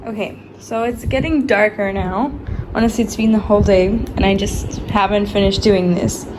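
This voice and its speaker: A woman's voice: medium pitch, fairly even intonation, normal speed, rough recording. A young woman in her twenties from Argentina, with an Argentine Spanish accent and Spanish as her first language, chatting casually and tiredly in a rambling vlog. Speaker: vlogger. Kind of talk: casual chat. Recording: music playing in the background.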